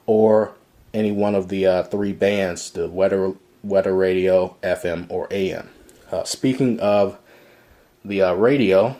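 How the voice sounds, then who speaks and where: A man's voice: on the low side, dark tone. A man giving a casual, step-by-step product demonstration in an explanatory way, recorded in a room indoors. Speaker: product reviewer.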